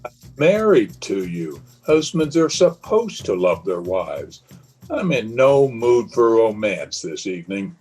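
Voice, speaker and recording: A man's voice: fairly low pitch, very animated, takes it slowly, darkish tone, breathy edge, faint music behind, exaggerated voice, rough recording. A man playing a bored, sarcastic, irritable husband in the manner of a 1930s radio drama. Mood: bitter sarcasm.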